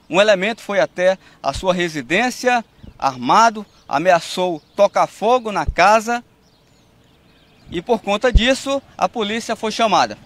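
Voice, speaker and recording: A man's voice: high voice, fairly bright tone. A man in his thirties with a northern Brazilian accent, reporting outdoors into a handheld mic in a formal way, with some restarts. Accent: Brazilian Portuguese, northern Pará. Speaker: field reporter. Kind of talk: news report on location. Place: outdoors beside a police truck.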